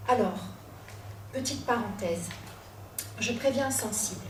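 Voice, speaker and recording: A young woman from Corsica tells her own life story, slow and deliberate, with dramatic pauses and wry asides. Her voice sits a little high, and it is picked up from some way off in an echoey room with a faint hum of ambience behind her. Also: recorded from a distance. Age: 20s. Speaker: student storyteller. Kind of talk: personal storytelling talk.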